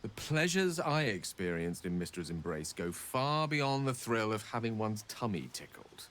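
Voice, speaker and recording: An actor playing a wistful, scholarly and self-deprecating wizard in a video game, speaking in florid, theatrical phrases. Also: male voice, fairly low pitch, sing-song, moderate pace, fairly bright tone. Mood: playful, flustered. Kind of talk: video game character dialogue.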